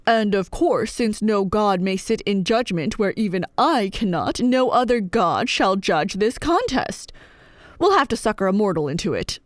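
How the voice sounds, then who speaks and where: A woman voicing a male king of the gods in a storybook retelling, smooth-talking and placating, with formal phrasing. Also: medium pitch, lively intonation, normal speed, dry recording, clean studio sound, natural voice. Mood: declaring, wry. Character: Zeus, male king of gods, diplomatically wriggling out of judging.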